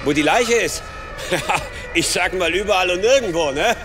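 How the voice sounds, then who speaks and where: A man's voice: sits high, lively intonation, moderate pace. An actor playing a harried male police investigator in a radio crime drama, with a wry, colloquial manner. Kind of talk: naturalistic German radio crime drama acting.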